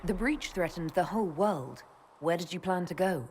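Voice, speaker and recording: A woman playing a composed, direct young elven mage heroine in a video game, calm and soft-spoken. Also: lowish voice, normal speed, slight background noise, lightly acted. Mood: curious. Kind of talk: video game cutscene dialogue.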